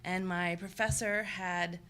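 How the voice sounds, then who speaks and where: A woman's voice: fairly low pitch, steady tone, slow, a bit bright, a little hiss or hum. A woman from rural Nebraska answering interview questions about her development work in a thoughtful, earnest way. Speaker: guest, development worker.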